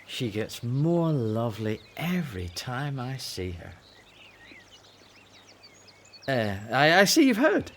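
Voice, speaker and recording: Flippant gossipy disciple in a radio drama, super animated pitch, brushes everything off, bit of background noise. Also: male voice, heavily acted. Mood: blunt, relishing. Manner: brisk, dismissive, theatrical. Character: breezy, flippant male disciple gossip with gory relish.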